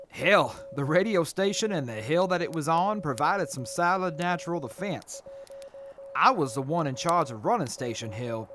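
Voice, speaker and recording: A man acting as a weary, proud mercenary officer in a wasteland radio play. He talks earnestly and reflectively, with animated pitch, over clearly audible noise. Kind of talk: post-apocalyptic audio drama acting.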